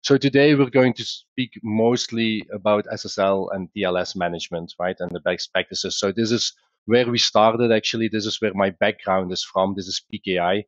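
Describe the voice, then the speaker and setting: A man with a Belgian accent, a company founder presenting a webinar in a conversational, explanatory way, often pausing on "uh" and restarting sentences. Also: fairly low pitch, fairly even intonation, dry recording, highs cut off.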